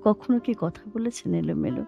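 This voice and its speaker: A woman acting a poetic, playful female stage performer who gently challenges, in lyrical, expressive audio-drama dialogue. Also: on the low side, brisk, creaky, dull audio. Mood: wistful, lyrical. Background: instrumental background music.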